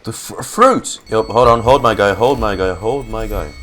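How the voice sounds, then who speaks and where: A man giving casual, excitable reaction commentary as a game streamer, full of slang. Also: fairly low pitch, moderate pace, slight background noise.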